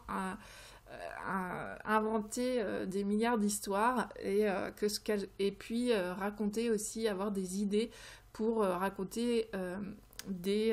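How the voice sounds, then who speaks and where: A woman presents creative ideas in an informal, unscripted way. She speaks haltingly, often searching for the right word as she explains each step. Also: mid-range voice, a little mellow. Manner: hesitant, halting, searching for words. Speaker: creativity vlogger presenting tarot card ideas. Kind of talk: informal tutorial talk, unscripted.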